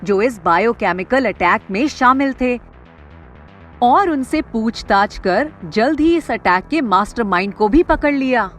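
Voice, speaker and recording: Slow-paced woman storyteller over music. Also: a little high, animated. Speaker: story narrator. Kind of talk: scripted storytelling narration. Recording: music behind the voice.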